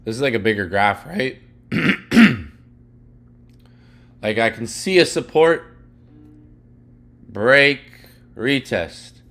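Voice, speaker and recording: A young man teaching live, walking through a price chart in casual, rambling talk full of "like" and "literally", his voice going hoarse and his throat needing clearing. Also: mid-range voice, animated, extremely slow, long pauses, fairly bright tone, faint music behind. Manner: rambling, heavy filler "like" and "literally". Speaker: trading educator.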